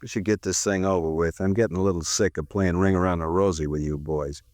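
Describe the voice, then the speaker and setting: A man's voice: low voice, fairly even intonation, quick pace, a little mellow, no echo, close to an everyday voice. An actor playing a cocky, sarcastic ex-con murder suspect in a 1950s radio crime drama, talking in defiant wise-guy slang. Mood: impatient, cocky.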